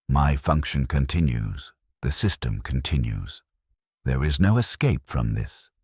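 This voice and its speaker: Man playing a very deep-voiced, resigned bureaucrat. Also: a little mellow, breathy edge, dry recording, studio quality, exaggerated voice. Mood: grim resignation. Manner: grim, measured, fatalistic, formal. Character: weary male Imperial logistics bureaucrat, resigned, bleakly dutiful. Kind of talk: scripted first-person dramatic narration.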